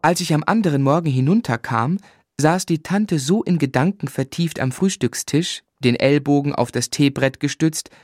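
An actor as a grown man narrating his own boyhood in a measured, literary style. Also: a little high, darkish tone, no room sound, faint music behind, lightly acted. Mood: descriptive.

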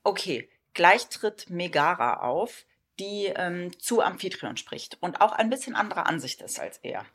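A woman with a husky voice, informally leading a rehearsal discussion. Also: lowish voice, big pitch swings, measured pace, a bit bright. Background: faint music.

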